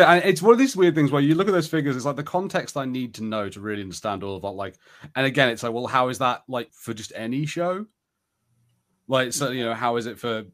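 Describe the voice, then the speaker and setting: A male podcast co-host in a casual review chat. He works out his opinions as he speaks, hedging and circling back. The phrasing is halting and conversational. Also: medium pitch, a bit bright. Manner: halting, hedging, thinking aloud.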